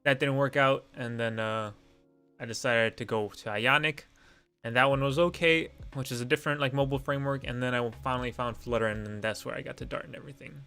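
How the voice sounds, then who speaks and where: A young man with a gravelly, fry-tinged voice answers viewer questions on a live stream, with faint music playing underneath. He rambles, pokes fun at himself, and fills the gaps with ums and likes. His first language is Lithuanian. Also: medium pitch, steady tone, a little fast, pushed and bright. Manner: rambling and self-deprecating, many fillers. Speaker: streamer hosting a Q and A. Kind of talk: casual live-stream chat.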